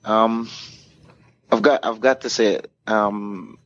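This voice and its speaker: A man's voice: lowish voice, steady tone, takes it slowly, fairly bright tone. A man, a DJ guest telling casual anecdotes in an interview and acting out the dialogue. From Caribbean. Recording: muffled, clearly noisy room recording.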